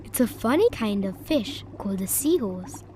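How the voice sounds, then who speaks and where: A woman voicing a kindly, gentle mother pig in a children's storybook. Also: medium pitch, big pitch swings, normal speed, slight background noise, close to an everyday voice. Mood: explaining.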